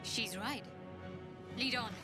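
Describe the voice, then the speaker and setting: A woman's voice: a little high, takes it slowly. A woman acting a guarded, pragmatic young cleric adventurer in a video game. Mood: wary, composed. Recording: game music behind the voice.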